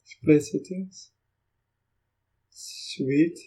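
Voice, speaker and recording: A man whose first language is Afrikaans gives a casual walkthrough of software while recording his screen, thinking aloud in halting phrases. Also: fairly high pitch. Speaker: Linux software reviewer.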